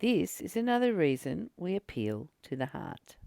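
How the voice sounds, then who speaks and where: A woman leading a spiritual book study, reading aloud and reflecting in a deliberate, emphatic manner with pauses and repeated phrases. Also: on the low side, big pitch swings, gravelly, warm tone, dry recording, clean studio sound. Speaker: spiritual teacher and channel host.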